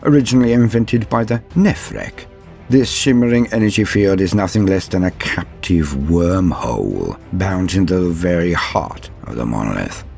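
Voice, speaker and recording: Deep-voiced man narrating lore theatrically. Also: expressive, gravelly, a little mellow, soft background music. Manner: theatrical, measured, formal. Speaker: host of a Warhammer lore guide. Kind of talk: scripted dramatic lore narration.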